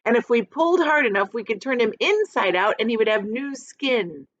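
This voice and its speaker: A woman's voice: on the high side, lively intonation, moderate pace, pitched above the performer's own voice, lightly acted. A miserable storybook earthworm, played by a woman reading aloud. He grumbles and whines in a raised, swooping voice, on a slightly muffled recording. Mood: eager scheming. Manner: groaning, whiny. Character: gloomy, complaining male earthworm.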